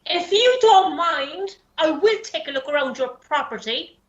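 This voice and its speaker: A woman's voice: high voice, lively intonation, unhurried, a bit bright. A woman playing a haughty, stuck-up horsewoman in a radio play, sounding affronted, in an echoey, slightly dull recording. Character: haughty horsewoman searching for her lost horse.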